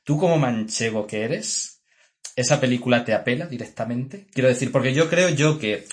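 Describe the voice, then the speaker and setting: A man's voice: medium pitch, moderate pace. Man, young adult, from Extremadura, podcast co-host, chatty, laughing, asks the questions. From Extremadura, Spain. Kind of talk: casual film chat.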